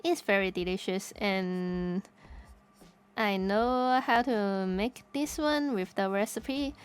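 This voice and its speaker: A woman from Hong Kong with a Cantonese accent, chatting casually on stream, halting and restarting as she searches for words. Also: mid-range voice, clean tone. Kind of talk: casual chat while drawing.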